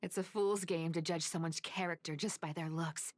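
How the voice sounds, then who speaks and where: An actress voicing a sly, playful female assassin in a video game, teasing and coolly menacing in a breathy, theatrical drawl. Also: lowish voice, steady tone, moderate pace, lightly acted. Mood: teasing menace. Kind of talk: English game voice acting, theatrical monologue.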